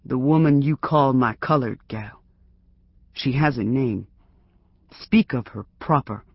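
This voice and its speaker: A man's voice: mid-range voice, takes it slowly, slightly rough, no room sound, lo-fi sound. A man voicing a stern, unmoved husband with a big barking voice, curt and firm.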